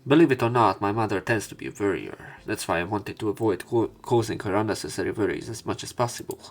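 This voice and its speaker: A man reading visual novel text aloud as a let's play narrator, in a somewhat halting manner. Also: fairly low pitch. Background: game music.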